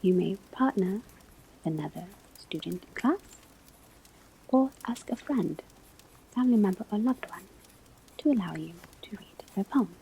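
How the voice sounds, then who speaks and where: A woman voicing a calm professor of divination at a witch school, in a soft, breathy whispered ASMR lecture with measured, instructive delivery. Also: mid-range voice. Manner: slow, deliberate, measured, instructive. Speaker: ASMR roleplay performer. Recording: clear background ambience noise. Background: classroom ambience, crackling fireplace, pages turning.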